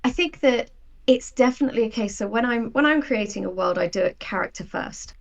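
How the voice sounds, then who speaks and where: Creaky-voiced woman in casual conversation. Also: fairly high pitch, expressive, fairly quick, lo-fi sound. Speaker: guest, sci-fi writer. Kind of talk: casual interview chat.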